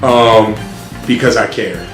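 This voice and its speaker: A middle-aged man, a school principal, answering interview questions in a loud, earnest way with frequent ums and restarts. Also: on the low side, takes it slowly. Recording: roomy sound with music behind.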